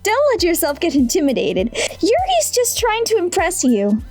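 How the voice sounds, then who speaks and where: A woman's voice: extremely high pitch, huge pitch swings, normal speed, a little mellow, full character voice. A woman voicing a poised, friendly teenage club president who speaks confidently and reassuringly, for a fan dub of a visual novel. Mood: warm. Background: faint music.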